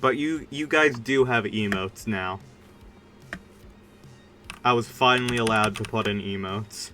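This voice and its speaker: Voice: mid-range voice, a little slow, bright, forceful tone, faint music behind. A streamer chatting casually during a game livestream in a rambling, informal and emphatic way. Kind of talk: casual livestream chat while gaming.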